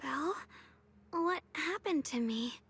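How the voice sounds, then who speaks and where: A woman's voice: sits high, animated, slow, fairly bright tone, dry recording, exaggerated voice. An actress voicing a shy, modest young swordswoman in a video game, speaking politely and hesitantly. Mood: shy, flustered.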